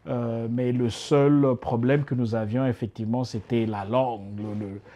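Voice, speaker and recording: A man's voice: mid-range voice, fairly even intonation, moderate pace, dark tone, highs cut off. A middle-aged man from Congo, a guest in a casual interview, speaking with many fillers and restarts. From Democratic Republic of Congo.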